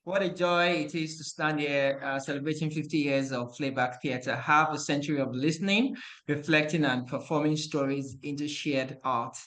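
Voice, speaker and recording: Man reading a formal anniversary speech, earnest, a little high voice, video call. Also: fairly even intonation, moderate pace, a bit bright.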